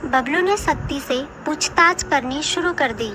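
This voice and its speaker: A woman with a high voice narrates a children's animal tale. She delivers scripted storybook narration as the storyteller. Clear noise is audible behind her. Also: measured pace.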